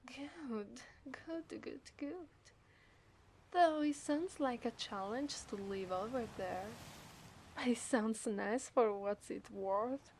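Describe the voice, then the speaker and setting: Over faint ambient noise comes a woman acting as a possessive, lovestruck mermaid with an Italian accent. Her voice is fairly high and breathy, often slipping toward a whisper. She speaks very slowly and teasingly, in an intimate ASMR roleplay style. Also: a little mellow. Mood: playful, curious. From Italy. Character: obsessive, possessive, seductive yandere mermaid.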